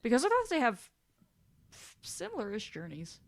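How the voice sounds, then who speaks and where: A woman's voice: on the high side, wildly swooping pitch, normal speed. A woman co-hosting a casual podcast discussion, thinking aloud with hesitant, thoughtful phrasing. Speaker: podcast co-host.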